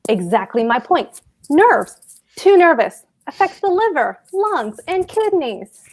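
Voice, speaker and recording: A woman's voice: high-pitched, big pitch swings, slow pace, full character voice. A woman at a table read playing a pompous, dutiful husband and government clerk who declaims his opinions emphatically. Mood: pedantic, blunt. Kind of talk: cold table read of a stage play.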